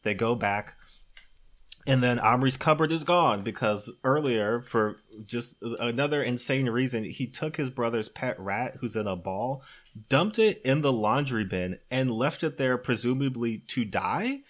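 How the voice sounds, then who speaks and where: A man's voice: medium pitch, animated, a little slow. A man with a mid-range voice recaps a movie on a podcast. His delivery is casual and comedic, full of wry disbelief, and he often laughs as he tells the story. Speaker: podcast co-host recapping a film.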